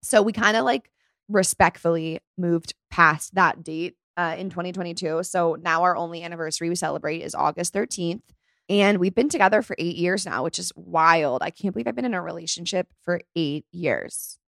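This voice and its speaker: A young woman hosts her podcast in a relaxed, chatty way, full of fillers and quick asides. Her voice sits a little low for a woman. The sound is dry and close, with no room around it. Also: creaky, dry recording. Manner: chatty, bubbly, lots of "like". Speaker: podcast host. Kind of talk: casual solo podcast chat.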